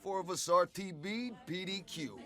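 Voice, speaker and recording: An actor playing a cocky soldier who tells war stories casually in clipped, wry military slang for a video game. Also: male voice, high voice, normal speed, bright, forceful tone. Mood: brisk recounting. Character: cocky male soldier swapping war stories with his squadmate. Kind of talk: casual in-character storytelling.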